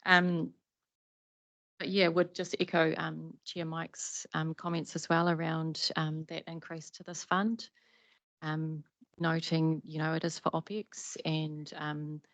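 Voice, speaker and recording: Brief: New Zealand woman, very low, flat, gravelly voice, committee member giving thanks. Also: no room sound, poor audio. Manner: appreciative, halting with ums. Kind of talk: meeting remarks.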